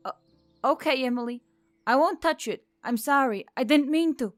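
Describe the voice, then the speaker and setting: Woman voicing a chirpy, high-pitched elf waitress. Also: normal speed, faint music behind, natural voice. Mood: flustered, apologetic. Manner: perky teasing, stammers apologetically when upset.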